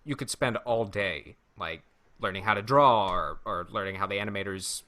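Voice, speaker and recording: A man with a fairly low, very bright voice talks casually as a podcast host. He tells stories in a halting way, pausing to find his words, with a crisp forward edge to the tone. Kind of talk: casual chat, anecdotes.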